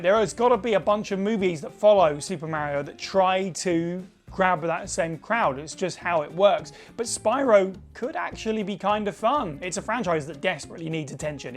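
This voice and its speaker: A man's voice: sits high, moderate pace, faint music behind. A man presenting a casual gaming opinion piece, chatty and enthusiastic, with fillers along the way. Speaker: video presenter.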